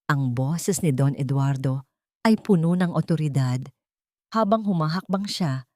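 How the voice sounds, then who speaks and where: A woman's voice: deep voice, lively intonation, unhurried, darkish tone, no echo, studio quality. A woman with a Filipino accent narrating a dramatic scripted story in a solemn, theatrical way. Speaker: audiobook narrator.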